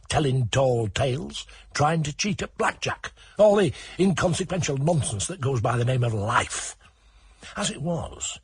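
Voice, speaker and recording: A man's voice: mid-range voice, slightly rough, no room sound, exaggerated voice. An actor playing a wry, sardonic Czech rogue who rambles through his war memories as a comic radio narrator. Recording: music under the voice.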